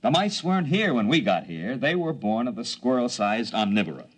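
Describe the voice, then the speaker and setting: An actor playing an earnest biologist on an alien planet, explaining insistently in 1950s radio drama style. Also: male voice, mid-range voice, very animated, brisk, low-quality recording. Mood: explanatory.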